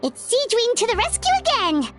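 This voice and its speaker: A woman voicing a tiny, excitable, chatty fairy companion with a childlike manner in a video game. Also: extremely high pitch, normal speed, music in the background. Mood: excited, chatty. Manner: exclaiming, speaks of self in third person.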